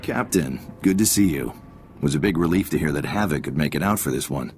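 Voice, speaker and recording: A man's voice: extremely low pitch, expressive, brisk, darkish tone, faint music behind. A man playing a friendly, wry military intelligence officer in a video game, collegial and businesslike. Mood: friendly, teasing. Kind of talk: scripted video game dialogue.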